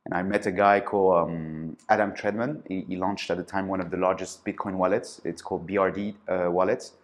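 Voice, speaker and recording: A man's voice: low voice, fairly even intonation, fairly quick. A man from France, telling his founder story in a casual interview, hesitant, with many fillers and restarts. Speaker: podcast guest, startup founder.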